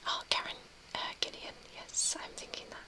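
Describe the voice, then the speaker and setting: A young woman whispering softly as she reads and answers a live chat, a little tearful. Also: moderate pace. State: tearful, recently crying. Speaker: ASMR streamer. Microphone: webcam mic.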